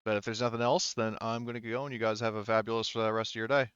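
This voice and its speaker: Man with smooth voice, slightly dull mic. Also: lowish voice, level tone, quick pace, a bit bright, no echo. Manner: informal, freely swearing. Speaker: game master. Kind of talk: casual post-session tabletop game chat.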